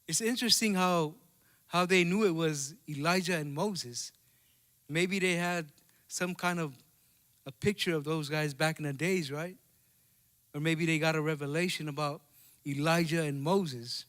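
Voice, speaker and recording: Man, Samoan accent, high voice, pastor, bilingual sermon, conversational. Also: unhurried, fairly bright tone. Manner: conversational preaching with tag questions. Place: church service.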